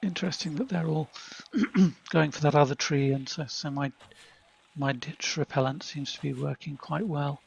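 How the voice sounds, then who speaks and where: A man voicing a confident jungle man who thinks of himself as British, with a British accent and a husky voice, talking in a chatty, understated way and muttering asides as he plays a game. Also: on the high side, steady tone, normal speed, lo-fi sound. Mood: pleased. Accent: British English. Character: confident jungle man Tarzan turned proper British gent. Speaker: gameplay commentator in character. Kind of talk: in-character gameplay narration.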